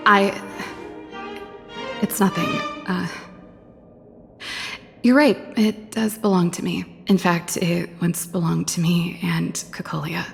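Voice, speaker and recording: An actress voicing a wistful, guarded woman inventor in a video game, speaking casually and haltingly. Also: lowish voice, steady tone, gravelly. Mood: shaken, subdued. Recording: loud music over the voice. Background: music.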